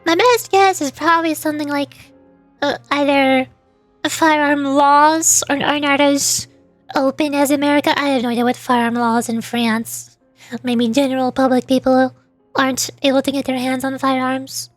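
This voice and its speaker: Woman, high voice, playful casual game commentary, thinking aloud, music in the background. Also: steady tone, moderate pace, dry recording. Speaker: streamer voice-acting along with a video game. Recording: music behind the voice.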